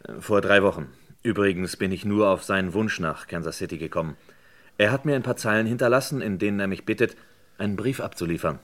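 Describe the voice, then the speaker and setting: Casting: male actor as courteous stranger on a quest, low voice, reserved and purposeful, crime radio drama. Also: fast talker, no room sound, lightly acted. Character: polite, purposeful male visitor from Caracas seeking someone. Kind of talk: German crime radio play acting.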